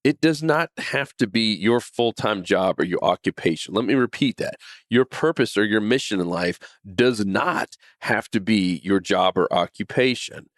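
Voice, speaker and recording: A man's voice: fairly low pitch, animated, normal speed, no echo. Earnest man in his forties, dry close sound. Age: 40s. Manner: earnest, repeats words and restarts often. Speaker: podcast host. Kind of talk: casual faith talk monologue.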